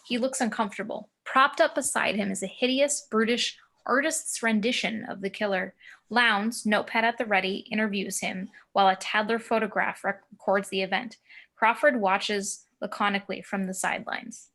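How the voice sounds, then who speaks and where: A woman's voice: mid-range voice, fairly even intonation, moderate pace, a bit bright. A woman giving scripted narration of screenplay action and scene directions at a table read. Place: remote video call.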